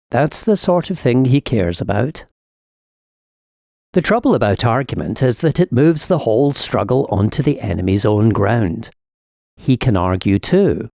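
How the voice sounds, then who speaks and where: A man's voice: mid-range voice, gravelly, mellow, dry recording, clean studio sound. A man voicing an urbane senior demon in a dramatic audiobook, lecturing his young nephew with pompous, sly smugness. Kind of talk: dramatic audiobook reading of epistolary satire.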